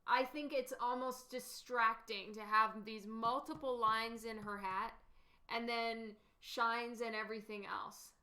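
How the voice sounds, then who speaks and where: High, bright, giggly woman chatting slowly. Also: fairly even intonation. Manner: chatty, giggles often, answers chat questions. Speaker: artist live-streaming a painting session. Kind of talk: casual chat with viewers while painting.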